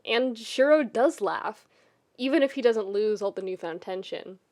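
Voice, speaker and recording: A woman reading a scripted story as a podfic narrator, with expressive, intimate storytelling. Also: mid-range voice, moderate pace.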